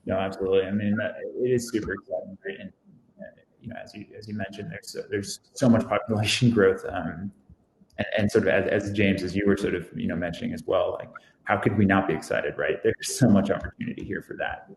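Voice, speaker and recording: A man's voice: low-pitched, fairly even intonation, fairly quick, creaky. A man moderating a conference panel, framing questions hesitantly and repeating words. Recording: echoey room with faint noise.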